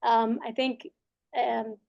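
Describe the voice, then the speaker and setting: A woman with a fairly high voice walks through project progress in a meeting, casual and loose, with plenty of fillers as she lists what her team has done. She talks over a video call. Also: level tone, takes it slowly, vocal fry, muffled recording. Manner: casual, many fillers, listing items. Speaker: project consultant presenting progress. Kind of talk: meeting presentation.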